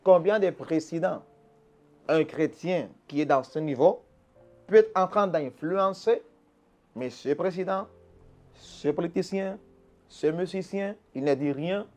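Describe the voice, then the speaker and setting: A man's voice: fairly high pitch, unhurried, long pauses, a little mellow. A man, a pastor, answering interview questions in an earnest, preaching manner, often repeating words as he restarts a phrase. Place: Quebec.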